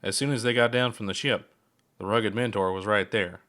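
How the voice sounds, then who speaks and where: A man narrates a piece of fiction in a fairly low voice. He reads as the author of the story, in scripted audiobook fashion. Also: steady tone, moderate pace, a bit bright. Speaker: author reading own story.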